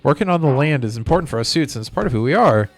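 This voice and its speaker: A man's voice: medium pitch, animated, racing, close to an everyday voice. A man voicing a stubborn corporate robot land developer from a video game, with a drawling, folksy, self-important manner, over music. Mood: folksy, aggrieved. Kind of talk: reading video game villain dialogue aloud.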